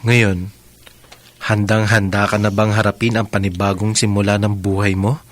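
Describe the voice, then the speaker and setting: An actor playing a patient, playful, reassuring bridegroom in a naturalistic radio drama, light and caring in manner. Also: male voice, fairly low pitch, little pitch movement, normal speed, slight background noise, lightly acted. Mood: gentle, caring.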